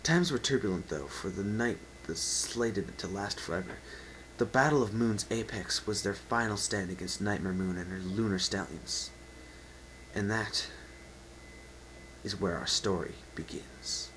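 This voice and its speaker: A man's voice: fairly low pitch, a little slow, fairly bright tone, slight background noise, rough recording. A man narrating an unedited dramatic story reading with theatrical, emphatic delivery. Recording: amateur home recording with faint whine.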